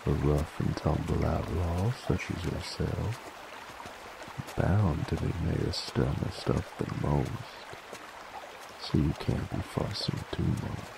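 Werewolf bounty hunter , male actor for ASMR roleplay: extremely low, slow Southern or Western drawl, teasing and dominant, water ambience behind. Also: gravelly, background noise, heavily acted. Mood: wry, teasing. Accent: American Southern or Western drawl. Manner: folksy, drawling, wry, unhurried.

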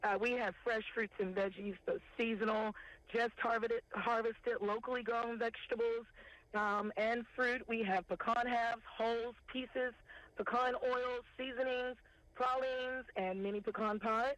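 A woman, a farmers market director calling in to a morning news show and listing products in a steady, unhurried way. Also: a little high, no echo. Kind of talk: phone interview, listing products. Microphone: telephone. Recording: tinny phone line.

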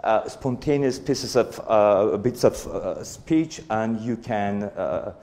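A man's voice: lowish voice, animated, slow, warm tone. A man in his forties speaking at a conference in a hall before an audience, hesitant, with many fillers and restarts. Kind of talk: conference talk.

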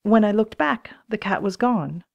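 A woman's voice: medium pitch, animated, moderate pace, creaky, lo-fi sound. A woman reading scripted lines from a children's story as an audiobook. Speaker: audiobook co-reader.

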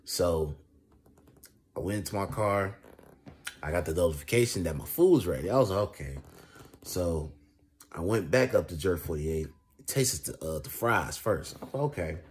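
A man's voice: sits low, lively intonation, moderate pace, pauses often, a little mellow. A young American man chatting casually in a vlog, telling a story with repetitive, emphatic slang and a conversational delivery. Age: young adult. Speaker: food reviewer. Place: indoors, reclining on a couch. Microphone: phone at arm's length. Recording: clear background noise, home phone recording.

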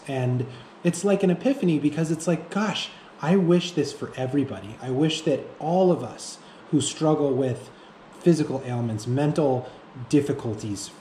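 A man with a soft-edged, mellow voice talks reflectively and without a script. He gropes for words and restarts phrases as he goes. The room adds noticeable echo, with faint noise underneath. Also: medium pitch. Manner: halting, searching for words, repeating himself. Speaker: fitness vlogger. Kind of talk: reflective unscripted monologue to camera. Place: indoor room with bare walls.

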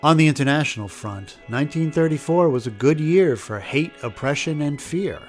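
A man's voice: a little high, animated, moderate pace, soft background music. A man hosting a documentary with scripted history narration. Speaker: documentary host.

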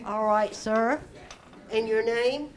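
A woman's voice: on the high side, slow pace. An older woman hosts a birthday gathering, chatting cheerfully and teasing the guests. She speaks into a handheld mic in a large community hall. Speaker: event host. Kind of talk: casual emcee chat.

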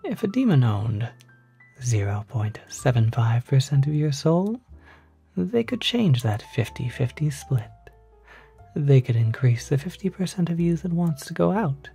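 A man playing a polite, quietly sinister demon concierge in an ASMR roleplay, speaking softly in half-whispers with gentle, hesitant persuasion. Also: medium pitch, exaggerated voice. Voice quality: soft, breathy, half-whispered.

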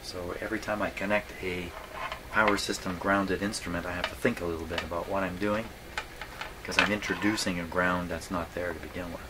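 A man's voice: low voice, fairly even intonation, brisk. A man thinking aloud casually as he narrates radio repair work in his workshop, musing and wryly self-deprecating. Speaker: radio repair hobbyist, host. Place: indoor electronics repair workshop. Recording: clear background noise in workshop.

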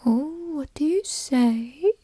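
A woman playing a tall, dominant, doting girlfriend in a whispered ASMR roleplay, teasing and coddling softly, close to the microphone. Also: high voice, expressive, slow, no room sound. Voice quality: soft, breathy whisper.

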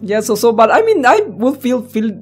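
Lively let's-player with music behind. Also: medium pitch, normal speed. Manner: giggly, interjects own reactions. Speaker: let's-play host. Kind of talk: reading game text aloud with casual commentary. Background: game music with plucked guitar.

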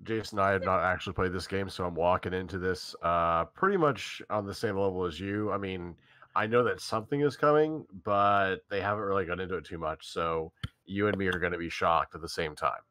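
A man's voice: low voice, fairly quick, bright, forceful tone, no room sound. A man taking part in casual banter among a reading cast. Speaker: cast member in a staged reading.

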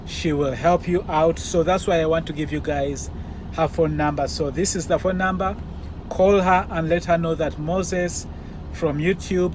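A man, around his forties, talking casually and explaining things to viewers like a vlogging driver. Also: a little high. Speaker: truck driver vlogger giving advice. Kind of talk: casual vlog talk to viewers. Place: mostly inside a truck cab, some outdoors. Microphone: phone or camera at arm's length. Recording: noisy handheld recording with vehicle ambience.

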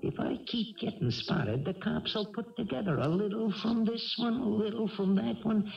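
An actor playing a sly, bullying American cat burglar in a 1970s radio drama, talking in slangy, needling, mock-friendly tough talk. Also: male voice, high voice, normal speed, creaky, highs cut off. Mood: menacing. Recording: band-limited old radio broadcast, music behind.

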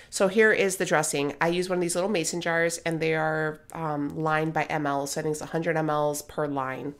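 A woman in her thirties narrating her home cooking in a casual, blunt, joking way, often checking in with "okay?". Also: sits low. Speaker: vlogger.

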